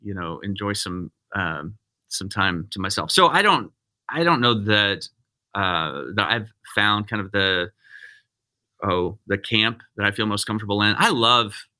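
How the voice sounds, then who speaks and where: A man's voice: lowish voice, lively intonation, a little slow, sharp tone. A middle-aged man, a pastor, talking casually and rambling with many restarts. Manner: rambling, self-interrupting, frequent restarts. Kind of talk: casual podcast conversation.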